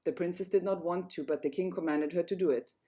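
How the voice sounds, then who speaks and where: A woman's voice: fairly low pitch, fast, dark tone. A woman storyteller narrating a fairy tale, reading it aloud.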